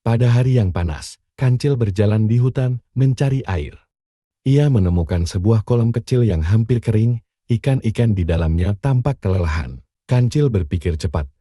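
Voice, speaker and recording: Very dark-toned, low-voiced male storyteller. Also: expressive, moderate pace, slightly rough, no room sound, clean studio sound. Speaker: storyteller narrator. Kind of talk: scripted children's bedtime story narration.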